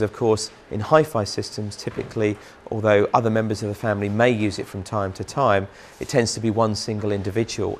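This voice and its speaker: A man in his thirties, a brand manager interviewed on a large factory floor with a lapel mic, explaining in a measured way. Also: lowish voice, a little hiss or hum.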